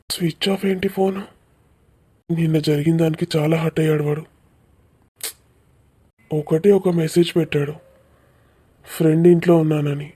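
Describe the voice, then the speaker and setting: A woman's voice: low-pitched, warm tone, husky. A woman voicing a mild, earnest young village man in a cartoon comedy, speaking plainly.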